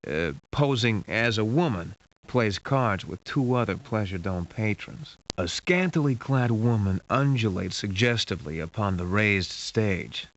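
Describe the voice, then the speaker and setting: A man's voice: lowish voice, animated, unhurried. A man playing a wry hard-boiled detective who narrates a sci-fi adventure game in a dry, dramatic, scripted noir style. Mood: ominous, matter-of-fact. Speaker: game narrator and lead character. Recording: muffled lo-fi game audio with hiss.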